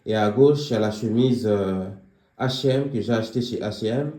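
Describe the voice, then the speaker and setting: A young man giving a casual tour of his apartment, pausing often with fillers as he walks around. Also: lowish voice, warm tone, roomy sound. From Congolese heritage, living near Paris. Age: young adult. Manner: hesitant, many filler pauses. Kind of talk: casual home tour vlog. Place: small apartment indoors.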